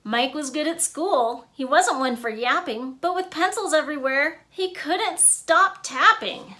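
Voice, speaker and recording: A woman reading a rhyming children's picture book aloud in an expressive way, punching out the sound words. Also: high voice, measured pace. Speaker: author and illustrator reading own book.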